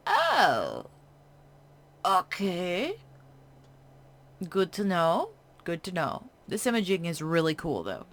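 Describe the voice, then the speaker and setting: Slow-talking woman playing a horror game. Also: on the low side, wildly swooping pitch. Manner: hushed reading broken by chatty reactions. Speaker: game streamer. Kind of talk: reading a game's text aloud with casual commentary.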